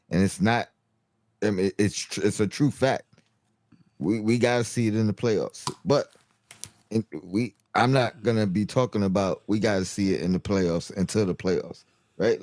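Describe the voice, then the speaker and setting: A man with a low, mellow voice talking basketball in a loose, halting way, full of restarts and fillers. The recording is dry and close. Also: no echo. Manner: halting, many restarts and fillers, repeats "you know what I mean". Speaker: co-host, sports analyst. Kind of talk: casual sports talk.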